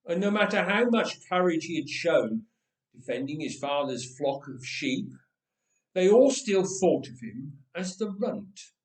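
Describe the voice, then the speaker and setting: A man narrates a storybook in a roomy, echoing space. He takes his time and swings widely in pitch, keeping the telling dramatic and playful. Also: distant mic. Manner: dramatic, playful, deliberate phrasing. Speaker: storyteller reading a story aloud. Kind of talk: expressive scripted storybook narration. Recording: roomy, echoing room sound.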